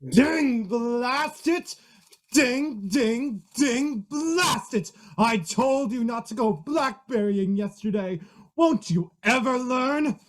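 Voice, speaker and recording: A woman playing a slow, blustering lion in a rage. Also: a little high, expressive. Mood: furious. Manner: roaring, blustering, slow and gruff. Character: vain, hot-tempered male lion.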